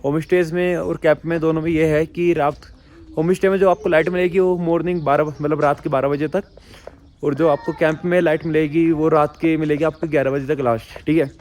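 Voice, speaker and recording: A young man vlogging on a trip, his throat a little hoarse, talking bluntly and chattily straight to his viewers. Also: fairly high pitch, fairly even intonation, fairly quick, a little mellow. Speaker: travel vlogger. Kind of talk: casual travel vlog talk.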